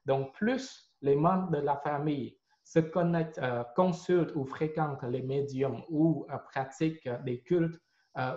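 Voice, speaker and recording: A man's voice: mid-range voice, warm tone. Hesitant man, roomy dull sound. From Cambodia. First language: Khmer. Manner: hesitant, many fillers and repeated words. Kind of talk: personal faith testimony.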